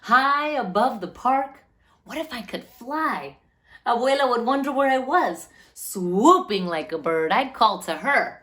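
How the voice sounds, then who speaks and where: A woman reading a children's picture book aloud as a storyteller, with animated, deliberate phrasing and drawn-out words. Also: on the high side.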